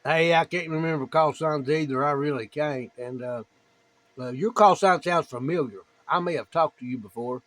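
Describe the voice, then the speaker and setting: A man with a slightly high voice makes casual ham radio small talk. He is plainspoken and tends to say things over again as he talks shop with other operators. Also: moderate pace, a bit bright. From United States. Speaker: amateur radio operator, video maker.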